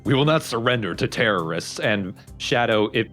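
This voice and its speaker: A man's voice: medium pitch, animated, normal speed, a bit bright. A man giving casual, explanatory let's play commentary on a video game. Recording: game music behind commentary.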